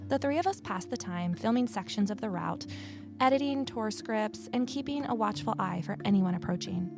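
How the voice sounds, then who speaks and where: A woman acting as a young amateur sleuth in a mystery audio drama. She is earnest and stubborn, with a wry, conversational delivery at a medium pitch, and music plays behind her. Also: expressive, moderate pace, creaky. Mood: wry, discouraged. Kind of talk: first-person audio drama narration and dialogue.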